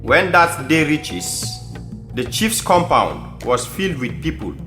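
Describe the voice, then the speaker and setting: A man's voice: on the high side, sing-song, takes it slowly, sharp tone. A man with a Sierra Leonean accent giving scripted storytelling narration for an audio drama. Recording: echoey room, faint music behind.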